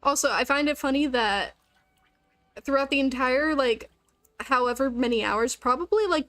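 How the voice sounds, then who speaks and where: A woman's voice: high-pitched, fairly bright tone, no echo. A woman chatting casually on a gaming livestream, rambling along with the odd swear word.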